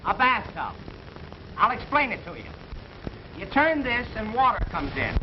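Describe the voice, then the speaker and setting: An actor playing a flippant, gag-cracking joker in a 1930s film comedy. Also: male voice, sits high, very animated, a little slow, background noise. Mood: cocky. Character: wisecracking small-town joker and would-be radio announcer. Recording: dull 1930s film soundtrack, music behind.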